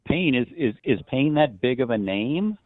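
Halting male caller, phone-quality sound. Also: mid-range voice, animated, no echo. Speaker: radio call-in listener. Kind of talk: casual sports opinion. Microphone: telephone. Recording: tinny dry phone line.

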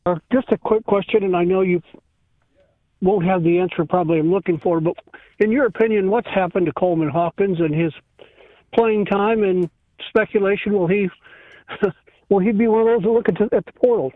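A man with a high-pitched voice speaks casually as a caller to a radio sports show. The phone line makes him sound tinny and dry, with little room around him. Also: a little fast, dry recording. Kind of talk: casual question to hosts. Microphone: telephone.

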